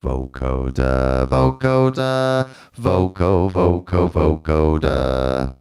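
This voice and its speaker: Slow droning vocoder robot. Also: male voice, deep voice, very animated, darkish tone, smooth, voice pushed down, heavily acted. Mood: mechanical, playful. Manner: slow, droning, repeating short phrases. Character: robotic singing synthesizer voice, slow and mechanical. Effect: vocoder through synthesizer chords.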